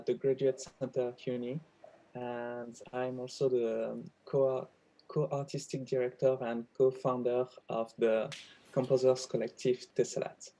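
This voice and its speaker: A man from France, a doctoral candidate, introduces himself on a video call in a measured way. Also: medium pitch, dark tone, poor audio. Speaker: doctoral candidate and ensemble director.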